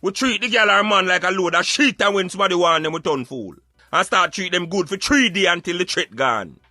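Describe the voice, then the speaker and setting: A man's voice: high voice, animated, fairly quick, pushed and bright, no room sound, faint music behind. A Jamaican man giving emphatic, opinionated social commentary in Patois, like a street philosopher preaching on a radio show.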